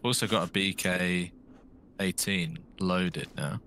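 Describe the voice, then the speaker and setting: Man from Britain, deep voice, steady and polite stream co-host on a headset. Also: slow, slight background noise. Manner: polite, steady, reads out chat and thanks subscribers. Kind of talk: casual chat while gaming. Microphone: gaming headset.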